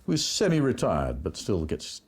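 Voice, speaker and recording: Male interviewee, extremely deep husky voice, explaining with animated intonation. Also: normal speed.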